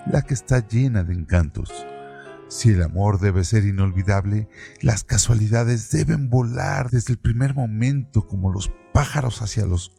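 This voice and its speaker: A man narrates literary prose slowly and deliberately. His voice is low, dark and gravelly, with faint music underneath. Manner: slow, deliberate reading.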